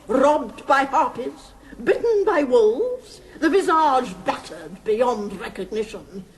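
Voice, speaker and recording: An excitable lady professor in a radio comedy. Her high voice swoops widely as she declaims with dramatic relish and a fluttery edge. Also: low-quality recording. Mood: melodramatic. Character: excitable, theatrical female history professor.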